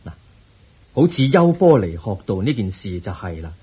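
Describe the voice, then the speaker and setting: A man with a Hong Kong Cantonese accent playing the Buddha, a calm, wise teacher who counsels gently and patiently in a radio drama. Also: lowish voice, moderate pace, breathy edge, exaggerated voice.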